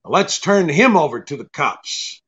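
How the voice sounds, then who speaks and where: An actor playing a tough, shrewd private detective in a radio drama, brisk and commanding. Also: male voice, on the high side, sing-song, a bit bright, muffled recording. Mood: insistent. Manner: brisk, hard-boiled, commanding. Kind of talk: old-time radio drama acting.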